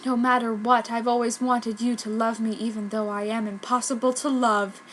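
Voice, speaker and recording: A woman's voice: a little high, level tone, moderate pace, slight background noise, natural voice. A woman voicing a brooding, tormented, self-pitying teenage boy with theatrical dejection in a fan fiction reading. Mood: dejected.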